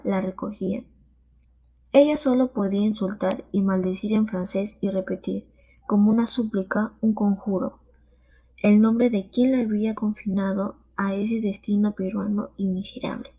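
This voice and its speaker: A woman reading a narration in a somber, measured way. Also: medium pitch, warm tone, breathy edge. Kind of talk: read narration. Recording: dull lo-fi recording.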